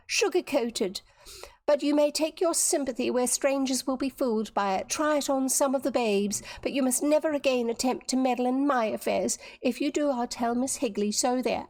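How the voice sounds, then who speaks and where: A woman's voice: high voice, a little mellow, no echo, voice pushed up. A woman voicing a proud, bitter schoolgirl with a snappish, cutting manner in an audiobook story. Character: proud, angry schoolgirl with a bitter, defiant temper.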